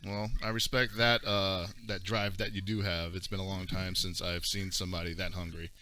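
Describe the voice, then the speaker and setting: A man hosting an interview show, speaking calm and measured as he thanks his guest and closes the show. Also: deep voice. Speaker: host.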